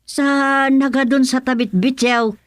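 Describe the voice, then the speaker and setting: A woman's voice: sits high, steady tone, measured pace, no echo, studio quality. A woman with an Ilocano accent playing a scolding, down-to-earth village woman in a radio comedy drama. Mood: surprised. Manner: chiding, exclamatory.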